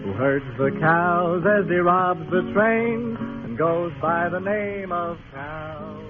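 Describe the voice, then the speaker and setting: A man performing as a cowboy balladeer, delivering a western ballad slowly over guitar. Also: high-pitched. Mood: wry. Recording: tinny old radio, guitar behind.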